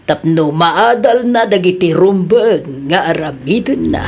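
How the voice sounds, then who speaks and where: A Filipino woman playing a patient but firm boss in an audio drama, measured, often humming thoughtfully before she answers. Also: lowish voice, expressive. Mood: calm, probing. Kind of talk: acted audio comedy-drama dialogue.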